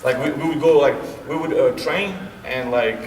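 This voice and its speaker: A man's voice: fairly high pitch, normal speed, a little hiss or hum. A man in his late twenties from Bosnia, a wrestler talking casually as a guest, with a laid-back manner and constant "you know what I'm saying" fillers. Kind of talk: casual interview chat. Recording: roomy echo from a distance.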